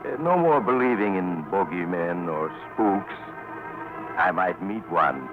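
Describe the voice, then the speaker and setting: Casting: sly mysterious host, low voice, tongue-in-cheek, vintage radio narration over music. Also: male voice, expressive, unhurried, phone-quality sound. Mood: wry, genial. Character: wry, mysterious male storyteller on a journey. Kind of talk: 1940s radio anthology narration.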